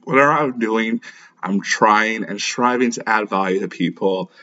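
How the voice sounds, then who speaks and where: Podcast host, male, 30s, low-pitched, informal and encouraging solo talk, dull lo-fi recording. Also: normal speed, sharp tone. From born in Lagos, Nigeria; grew up in St. Louis, USA. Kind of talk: casual solo podcast introduction. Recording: dull, muffled lo-fi podcast audio.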